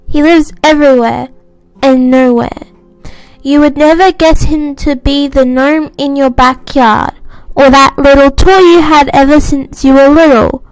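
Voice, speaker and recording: A woman's voice: high-pitched, steady tone, a little slow, no room sound, poor audio. A woman reading a scripted first-person horror story as narrator. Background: faint music.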